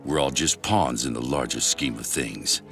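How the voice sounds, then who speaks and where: Very deep, gravelly bitter old patriarch. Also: male voice, normal speed, over music. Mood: cold, contemptuous. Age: older. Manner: contemptuous, domineering. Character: heartless bitter old patriarch, menacing and contemptuous. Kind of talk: video game cutscene acting.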